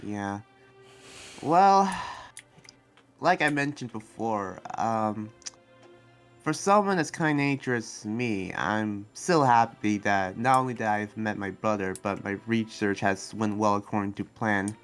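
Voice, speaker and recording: A man playing a kind, idealistic young demon slayer and researcher in an improvised roleplay, speaking earnestly and haltingly. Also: lowish voice, faint music behind. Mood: worried, sincere. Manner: hesitant, earnest, halting with restarts.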